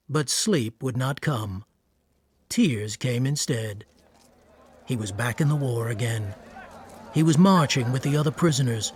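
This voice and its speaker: A man narrating a radio drama in a measured, literary storytelling manner. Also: medium pitch. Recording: noisy ambience under narration.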